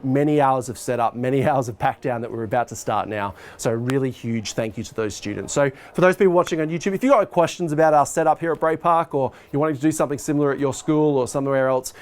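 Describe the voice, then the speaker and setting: Australian man giving an informal tour. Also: medium pitch. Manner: informal, explanatory. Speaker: high school arts and IT head of department giving a tour. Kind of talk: casual behind-the-scenes walkthrough. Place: indoors, school corridor and tech room. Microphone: lapel mic.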